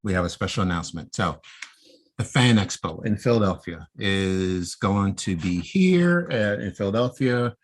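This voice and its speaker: A man's voice: sits low, expressive, moderate pace. A middle-aged man with an American accent, talking conversationally as a podcast host.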